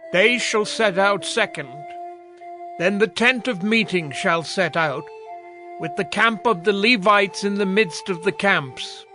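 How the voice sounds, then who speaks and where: A man giving a formal, declamatory reading of scripture from a script. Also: sits high. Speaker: narrator. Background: prominent music.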